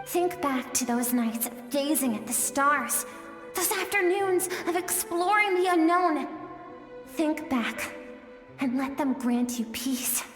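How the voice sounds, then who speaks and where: A woman voicing a game character, the gentle suppressed emotional self of a swordswoman, speaking softly and earnestly with pleading warmth. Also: sits high, lively intonation, slow. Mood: pleading, impassioned. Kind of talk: video game voice acting. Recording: very echoey with long reverb, music behind.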